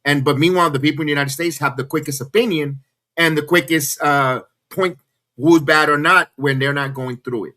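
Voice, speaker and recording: Brief: male podcast host, passionate and earnest, bright forward tone, slightly high. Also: brisk. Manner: earnest, repeats himself when worked up. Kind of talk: casual podcast chat.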